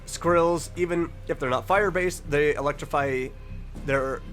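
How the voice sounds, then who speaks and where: Higher-voiced man reading and reacting. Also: moderate pace, fairly bright tone, soft background music. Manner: stumbles over words and corrects himself while reading. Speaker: online reaction video host. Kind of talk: reading on-screen text aloud with casual reaction commentary.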